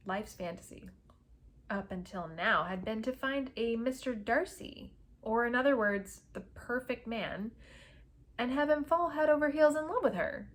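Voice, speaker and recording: Female narrator for romance fiction read-aloud. Medium pitch, expressive, dramatic and emphatic. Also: a little hiss or hum. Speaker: narrator reading a novel aloud.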